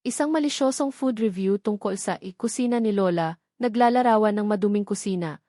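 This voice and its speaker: A woman's voice: mid-range voice, fairly quick, warm tone, dry recording. Woman narrating drama, very dry sound. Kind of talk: scripted dramatic story narration.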